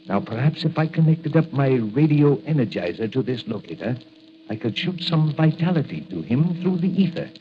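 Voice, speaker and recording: Slow, musing inventor, muffled radio. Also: male voice, medium pitch. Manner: musing, deliberate, muttering. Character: kindly, worried male apothecary inventor, muttering to himself. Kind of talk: 1940s radio acting. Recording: dull, hissy old radio recording.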